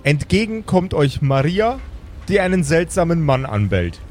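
A man's voice: fairly high pitch, big pitch swings, normal speed, darkish tone, slight background noise. A man acting as game master, improvising the narration of a fantasy tabletop audio game.

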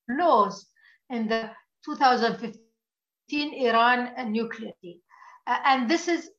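A woman analyst giving a measured, formal answer in a remote interview. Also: on the high side, roomy sound, dull audio. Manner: measured, formal policy talk. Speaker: political analyst.

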